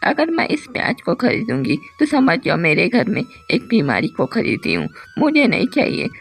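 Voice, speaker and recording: A scornful village woman from a cartoon tale, put on by a voice actress. She scolds dismissively, her pitch swooping dramatically. The voice is creaky and rough. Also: wildly swooping pitch, normal speed, full character voice. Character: scornful, fussy village woman customer refusing to buy. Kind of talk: Hindi moral-story cartoon dubbing.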